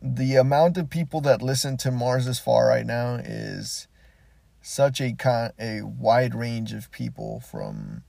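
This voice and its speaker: A man's voice: medium pitch, expressive, takes it slowly, vocal fry, warm tone, dry recording. A man hosting a casual solo podcast from his parked car, a native Spanish speaker, talking in a rambling, laid-back way with frequent restarts. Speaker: podcast host.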